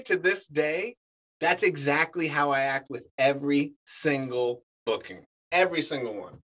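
Male guest, 40s, native Spanish speaker, lively storyteller, slightly higher voice. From United States. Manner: animated storyteller, many fillers. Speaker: actor guest. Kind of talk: casual chat.

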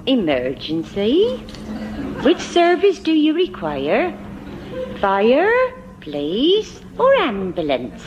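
A high-voiced, very animated English telephone operator in a vintage radio comedy. She is brisk, officious and short on patience. The old recording is muffled and noisy. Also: full character voice. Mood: unhelpfully brisk. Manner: officious, matter-of-fact. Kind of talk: British sitcom acting.

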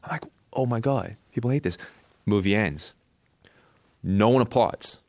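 A man with a Canadian accent telling a personal story casually as an interview guest, in short halting phrases. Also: lowish voice, darkish tone, no echo. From born in Korea, raised in Canada.

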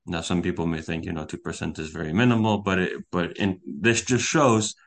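Low, flat-voiced young man explaining. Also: a little fast, gravelly. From United States. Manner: earnest, explanatory, with small restarts. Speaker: guest journalist. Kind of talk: podcast interview.

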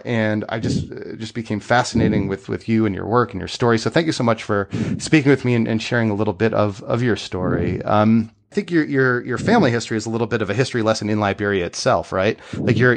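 Guy interviewing someone, gravelly low-ish voice, friendly rambly questions. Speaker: interviewer host. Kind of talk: interview conversation.

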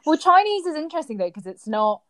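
A woman hosting a podcast, asking easygoing questions in a relaxed conversational way. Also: high voice, quick pace. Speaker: podcast host. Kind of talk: casual conversational interview.

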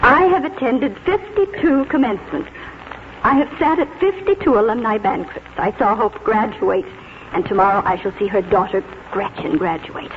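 An actress playing a weary, sentimental seventy-five-year-old schoolteacher in 1940s radio drama style. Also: female voice, medium pitch, moderate pace, background noise, natural voice. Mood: wistful, tearful. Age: older. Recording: muffled old radio broadcast, music behind.

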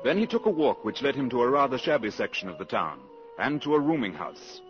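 A man's voice: mid-range voice, big pitch swings, a little fast. A man reading scripted narration for a vintage radio drama. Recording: muffled vintage radio recording with music.

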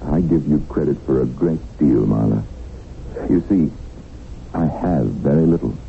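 An actor playing a cynical, world-weary middle-aged tycoon held captive, wry and taunting, in a 1970s radio drama. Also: male voice, rumbling low, expressive, a little slow, creaky, full character voice. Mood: weary, musing. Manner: wry, musing, sardonic. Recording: lo-fi radio recording, tinny, faint noise.

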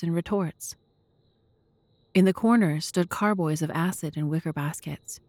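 Lowish, dry-recorded woman narrator. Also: darkish tone, no room sound. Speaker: narrator and host. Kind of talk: scripted audiobook-style narration.

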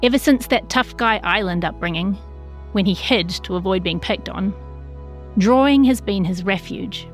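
A woman's voice: medium pitch, normal speed, soft background music. A woman with a New Zealand accent reads a written news feature as a journalist, in scripted narration.

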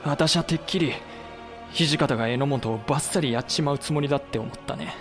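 A man's voice: mid-range voice, brisk, over music, lightly acted. A male actor playing a frank samurai vice-president who also narrates a historical war audio drama. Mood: wry.

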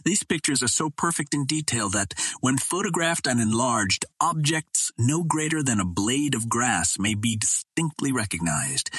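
A man reading an adventure novel aloud as a scripted narration, in a measured storytelling manner. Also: mid-range voice, fairly bright tone, husky, dry recording. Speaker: audiobook narrator.

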